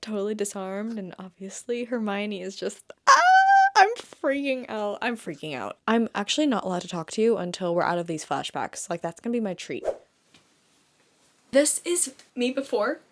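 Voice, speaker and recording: A woman's voice: mid-range voice, expressive, normal speed, fairly bright tone. A woman chatting casually to camera as a book vlogger, with an effusive, dramatic and slangy manner. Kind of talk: casual chat to camera in a reading vlog.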